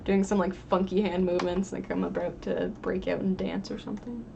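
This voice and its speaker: Woman with a creaky, crackly voice. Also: lowish voice, fairly even intonation, fairly quick, dull audio. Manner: informal, rambling, thinking aloud. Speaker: live streamer drawing digital art and chatting with viewers. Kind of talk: casual livestream chat.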